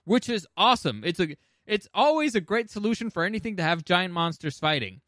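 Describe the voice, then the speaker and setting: A man's voice: high voice, lively intonation, fairly quick, fairly bright tone, dry recording, clean studio sound. A man co-hosting a podcast in casual, informal chat, with some swearing. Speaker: podcast co-host. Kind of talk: casual podcast chat ranking video game movies.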